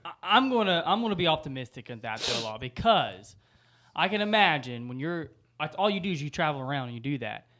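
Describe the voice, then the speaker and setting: A man in a casual podcast discussion, explaining his view with halting starts and acting out imagined remarks. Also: on the high side, very animated, fairly bright tone. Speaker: podcast panelist.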